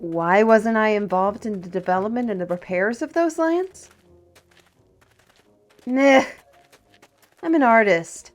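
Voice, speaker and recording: A woman playing a feisty, proud fantasy artist in an audio roleplay, chatty and indignant, with theatrical, expressive delivery. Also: medium pitch, slow, long pauses, soft background music. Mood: dismissive, proud.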